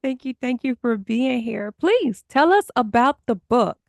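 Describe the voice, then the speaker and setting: A woman with a fairly high voice and a close, dry sound hosts a podcast. She is upbeat and enthusiastic, addressing her listeners directly as she presents and interviews. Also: animated, unhurried, gravelly, no room sound. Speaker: podcast host.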